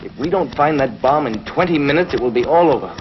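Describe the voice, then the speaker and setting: An actor playing an urgent, serious scientist in a 1950s television sci-fi serial. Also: male voice, fairly high pitch, expressive, fast talker, lightly acted. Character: urgent scientist doctor aboard a time-travelling spaceship. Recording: dull vintage 1950s TV soundtrack.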